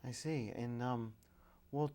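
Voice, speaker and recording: A middle-aged man, a priest, hosting a public access television interview, speaking in measured introductions with occasional fillers. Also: mid-range voice, steady tone, warm tone.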